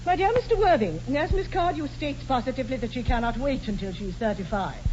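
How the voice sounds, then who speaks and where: A woman's voice: high voice, expressive, quick pace, a little mellow. A woman playing a pompous, domineering old aristocratic aunt with an upper-class English accent in a 1940s radio comedy. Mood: imperious. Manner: pompous, emphatic, grandly disapproving. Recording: dull, noisy 1940s radio broadcast.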